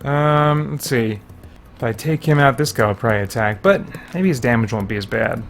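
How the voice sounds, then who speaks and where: A man giving casual video game commentary, thinking aloud in a halting way as he plays and reviews. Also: medium pitch, lively intonation. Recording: game music behind the voice.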